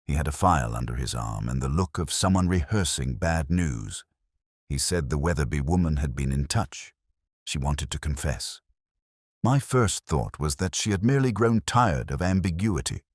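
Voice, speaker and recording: A man reading a scripted first-person literary detective story in a measured, melancholy, reflective manner. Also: very deep voice, no echo, studio quality.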